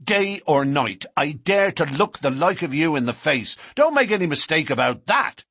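A surly young man, loud and defiant, spitting his words with cold fury, as a male narrator puts him on. The voice is husky with a lot of swing in pitch. The recording is close and dry. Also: sing-song, normal speed, dry recording, heavily acted. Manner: loud and fierce, shouting.

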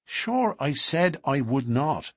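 A male narrator acts out a bold, wary storybook man who speaks firmly and bluntly. His challenges swing widely in pitch, with a husky edge. The sound is dry and close. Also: very animated, takes it slowly, dry recording, full character voice. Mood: impatient, guarded. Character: stern, bold, wary newcomer man, loyal lodge member. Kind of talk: audiobook character dialogue.

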